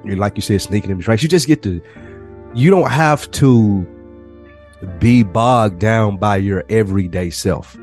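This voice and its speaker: Man in his thirties, lowish voice, podcast co-host, relaxed storytelling, animated, freely profane, faint music underneath. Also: a little slow. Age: 30s. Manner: animated, heavy casual swearing. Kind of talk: casual chat, storytelling.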